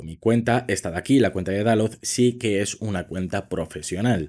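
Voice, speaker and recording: Low-voiced man explaining step by step. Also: a little mellow. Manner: step-by-step explaining, addresses viewer directly. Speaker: social media tutorial creator. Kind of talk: screen-recorded how-to walkthrough.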